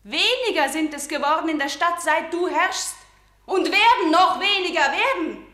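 An actress playing a defiant, grieving young princess facing death, in intense declaimed verse for radio. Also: female voice, very high-pitched, lively intonation, moderate pace, bright, forceful tone, full character voice. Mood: bitter defiance. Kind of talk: stylised verse radio drama acting. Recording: echoey, reverberant room.

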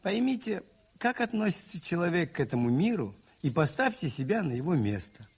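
A gentle priest-detective in a radio drama. His voice sits fairly high and swoops expressively as he moralizes earnestly, with a husky grain. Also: very animated. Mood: earnest, reflective. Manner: earnest, moralizing, deliberate phrasing with rhetorical repetition. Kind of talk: 1980s radio play acting.

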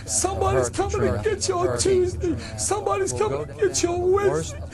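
Woman giving emotional testimony, really high voice, keeps repeating stuff, big crowd noise behind her. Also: level tone, a little fast. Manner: insistent, emotional repetition. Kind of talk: impassioned spoken testimony.

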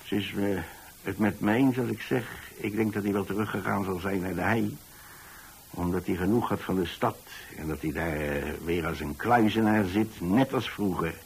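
A man's voice: deep voice, moderate pace, a little hiss or hum. An actor playing an older, plain-spoken pensioner in a radio drama, telling his story in a hesitant, repetitive, folksy way. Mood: reflective. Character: rambling, plain-spoken old pensioner who takes in lodgers. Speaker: narrator of a radio play.

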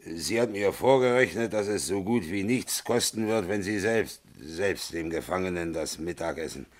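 An actor in a German radio drama playing a curt, self-satisfied old government minister with a deliberate manner who repeats his words. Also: low voice, close to an everyday voice. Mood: smug. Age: older.

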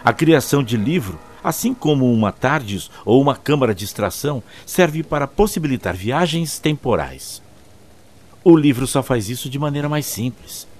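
A man's voice: medium pitch, expressive, moderate pace, darkish tone, close to an everyday voice. A man with a Brazilian accent playing a learned alien Time Lord who explains ancient lore like a storyteller in an audio drama. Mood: explanatory. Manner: expository, storyteller-like. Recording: faint background noise.

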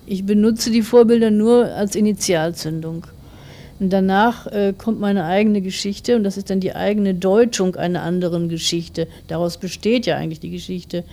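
Woman around 40, soft dark mellow voice, writer explaining her work in an interview, kinda measured and thoughtful. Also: medium pitch. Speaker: author being interviewed. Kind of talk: reflective spoken interview answers.

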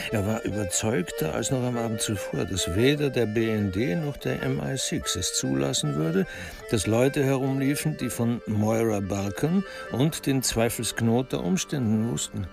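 A man narrating a radio crime drama in a measured, literary storytelling style. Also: mid-range voice, creaky, music in the background.

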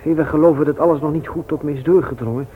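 An actor in a radio play, playing a grieving, weary widower with a halting, heavy-hearted delivery. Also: male voice, fairly high pitch, fairly even intonation, natural voice. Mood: subdued. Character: Gerrit, grieving widowed father, subdued and weary. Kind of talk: Dutch radio play acting. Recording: tinny, noisy old radio play recording.

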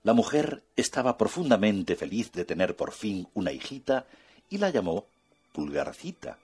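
A man narrating a children's fairy tale in an expressive, soft-spoken way. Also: lowish voice, moderate pace, slightly rough. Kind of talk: children's storytelling.

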